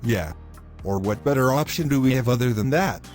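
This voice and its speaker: A male actor plays a selfish young boyfriend in a moral-story audio drama. He argues in formal, wordy lines and keeps pushing his point. His voice sits at an average male pitch, with soft music underneath. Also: animated, normal speed, lightly acted. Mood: dismissive, evasive. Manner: formal wordy phrasing, insistent. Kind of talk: scripted moral-story dialogue.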